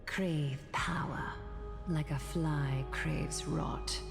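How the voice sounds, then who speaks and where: A woman voicing a burnt-out, resolute thousand-year-old female leader in a video game, grave, measured and restrained. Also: sits low, fairly even intonation, gravelly, heavily acted. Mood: cool, probing. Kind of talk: scripted game voice acting. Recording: long hall reverb, loud music.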